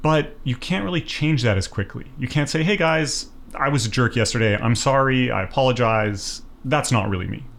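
A man's voice: medium pitch, moderate pace, creaky, few pauses, fairly bright tone. A Canadian man in his thirties, a filmmaker talking in a reflective, earnest way with many fillers in a casual podcast interview. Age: 30s. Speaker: documentary filmmaker guest.

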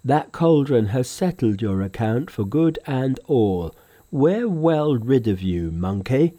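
A man voicing an oafish, insolent pig disciple in a storybook, grunting out drawn-out jibes. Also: medium pitch, big pitch swings, dark tone. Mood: jeering.

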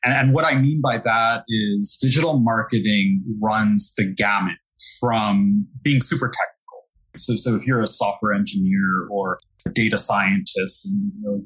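A man's voice: on the low side, a bit bright, breathy edge, rough recording. A man from Brooklyn, a guest talking casually about his marketing work, chatty and given to repeating words as he restarts. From Brooklyn, New York. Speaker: guest, digital marketing analyst. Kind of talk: casual interview talk.

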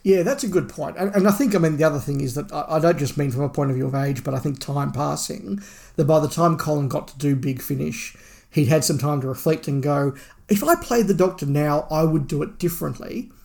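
A man's voice: fairly high pitch, brisk, creaky, darkish tone. A man co-hosting a podcast, giving opinionated, emphatic views in a casual review discussion.

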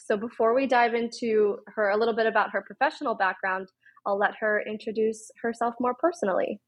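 Woman, podcast host, husky breathy voice, upbeat, friendly interviewer. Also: on the high side, fairly even intonation, brisk.

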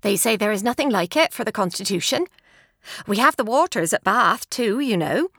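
A woman's voice: medium pitch, lively intonation, normal speed, fairly bright tone, slightly rough, no echo, studio quality. Woman putting on a gushing, nosy English country lady. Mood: prying, chatty. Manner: prying, chattering, affected politeness.

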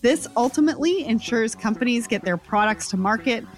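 A woman hosting a podcast panel, reading sponsor messages and then moderating in a clear, upbeat way. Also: on the high side, expressive, a little fast, soft background music. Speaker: podcast host.

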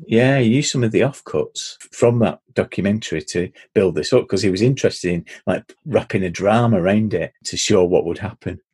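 Guy, 40s-50s, lowish husky voice, thoughtful, lots of ums. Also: brisk, hardly any pauses, darkish tone, no echo. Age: middle-aged. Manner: thoughtful, explaining, many ums. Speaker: podcast co-host. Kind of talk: casual chat.

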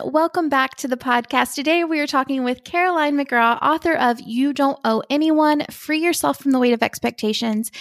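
A woman's voice: high-pitched, no echo, studio quality. A woman hosting a podcast, chatting and encouraging her guest in a casual interview. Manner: warm, encouraging, affirming listener. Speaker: podcast host.

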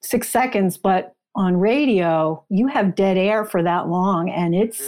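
A woman with a mid-pitched voice talks at a slow pace. She sounds like an author being interviewed, explaining conversationally in deliberate, short phrases. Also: darkish tone.

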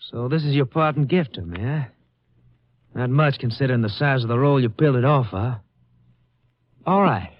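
An actor playing a mocking, threatening American blackmailer in a 1950s radio crime drama, speaking in rough colloquial style. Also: male voice, medium pitch, lively intonation, normal speed, pauses often, natural voice. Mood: sneering, menacing. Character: menacing, sneering male blackmailer and killer. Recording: dull, noisy old radio recording with clicks.

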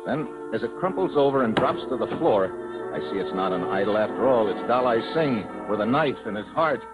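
An actor playing a tough, wary American operative with a clipped, sardonic delivery, narrating and acting in a 1950s radio drama. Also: male voice, fairly low pitch, expressive, a little fast, lo-fi sound, loud music behind the voice, lightly acted. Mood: tense, wry. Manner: hard-boiled, clipped, sardonic. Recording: vintage 1950s radio broadcast.

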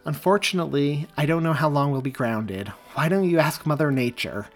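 A man's voice: fairly high pitch, expressive, moderate pace, pitched above the performer's own voice, lightly acted. A man voicing a young woman, a snarky flight attendant who speaks firmly and curtly. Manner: firm and clipped.

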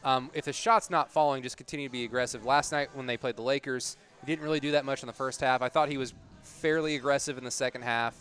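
A man giving measured, analytical commentary in a casual sports discussion as a podcast panelist. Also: mid-range voice, fairly even intonation, a bit bright.

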